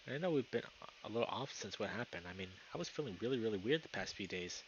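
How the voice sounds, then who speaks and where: A man voicing a timid, gentle young male lynx in a visual novel, speaking hesitantly and softly. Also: fairly low pitch, animated, fast, highs cut off, slight background noise. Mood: concerned.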